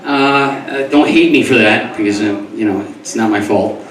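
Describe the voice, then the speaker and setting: A man from New York doing a stand-up comedy set, rambling and stumbling over his words, then breaking into loud comic outbursts. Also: on the low side, moderate pace. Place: comedy club stage before a live audience. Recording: echoey club recorded from a distance, crowd audible.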